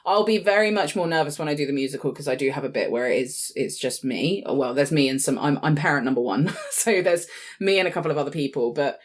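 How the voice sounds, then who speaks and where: A woman's voice: sits low, fast talker. A British woman in her late twenties chatting casually as a podcast co-host, in a chatty, informal way. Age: late 20s. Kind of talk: casual chat.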